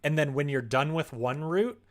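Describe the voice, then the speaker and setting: A man chatting casually on a livestream, thinking out loud in an informal, rambling way. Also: a little high, normal speed. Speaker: streamer writing a visual novel.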